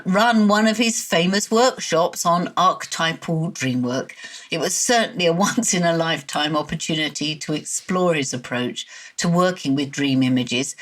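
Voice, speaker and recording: Woman podcast host reading her intro, pretty deep voice.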